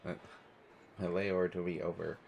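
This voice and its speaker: Man acting as stressed male traveler, low pitch, hesitant, self-deprecating, rambling, audio roleplay, noisy ambient background. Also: little pitch movement, normal speed, gravelly, darkish tone. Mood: awkward, chatty. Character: stressed, self-deprecating, observant male stranger waiting at airport. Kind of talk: one-sided conversational audio roleplay.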